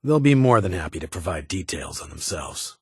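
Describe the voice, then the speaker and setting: An actor playing a stern, weary security chief in a video game, with a husky voice and a formal, clipped, authoritative manner. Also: male voice, sits low, very animated, a little fast, dry recording, studio quality, exaggerated voice. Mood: formal, businesslike. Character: stern, weary male vault security chief, guarded but honorable.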